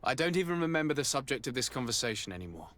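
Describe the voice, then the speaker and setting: A composed investigator in a video game. His mid-pitched voice stays polite and measured in manner while he presses for answers. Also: sing-song, fairly bright tone. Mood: polite, probing. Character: composed, courteous but pressing male investigator.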